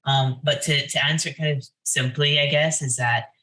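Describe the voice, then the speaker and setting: A woman's voice: low-pitched, fairly even intonation, normal speed, pushed and bright. A woman speaking as a poetry editor, giving casual, enthusiastic opinions in a discursive, unscripted way.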